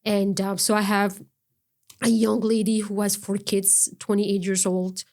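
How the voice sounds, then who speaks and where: A middle-aged woman speaking earnestly in a relaxed interview. Her voice sits at an ordinary pitch, and her phrases often break off and start again. She has an unhurried, conversational way of talking. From Ontario, Canada. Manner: halting, earnest, many restarts. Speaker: guest, non-profit founder.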